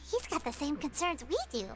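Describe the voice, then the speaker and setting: A woman voicing a small, chirpy childlike fairy companion in a video game, excitable and expressive. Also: high-pitched, a little slow, music in the background. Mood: concerned.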